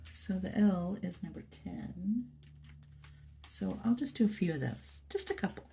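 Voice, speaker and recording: A woman host chatting softly to viewers while doing a craft project, her nose stuffy, recorded on a phone at her workplace. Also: fairly low pitch, unhurried, gravelly, slight background noise. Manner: soft, chatty, murmuring to viewers. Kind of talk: casual chat while crafting. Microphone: phone camera.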